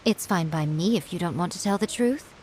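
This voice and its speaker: A woman voicing a sly fox-spirit shrine priestess in a video game, with a silky, mocking, unhurried delivery. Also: lowish voice, a little mellow, a little hiss or hum, natural voice. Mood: cold.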